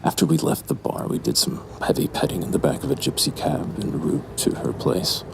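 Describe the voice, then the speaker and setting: Man acting a very deep gravelly brooding narrator. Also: wildly swooping pitch, fairly quick, background noise, exaggerated voice. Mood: brooding, smug. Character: brooding, lustful, menacing male narrator recounting a dark memory. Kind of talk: scripted first-person horror audio drama narration.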